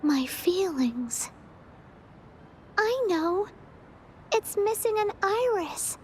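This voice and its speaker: A woman's voice: very high voice, sing-song, very slow, a little hiss or hum, heavily acted. A woman voicing a timid, wistful young girl in a video game, speaking hesitantly. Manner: hesitant, soft-hearted.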